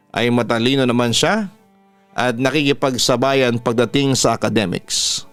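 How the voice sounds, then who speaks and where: Male Filipino radio host for story narration, clean smooth tone, dry close sound, soft music bed. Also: mid-range voice, no room sound. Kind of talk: scripted first-person story narration. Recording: music playing behind the voice. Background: background music.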